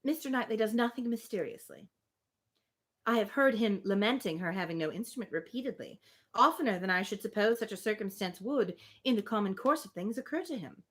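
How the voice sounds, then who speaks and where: A woman's voice: mid-range voice, a little fast, a bit bright, close to an everyday voice. A woman reading a spirited, opinionated young woman from a Regency novel, emphatic and formal. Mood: firmly dismissive.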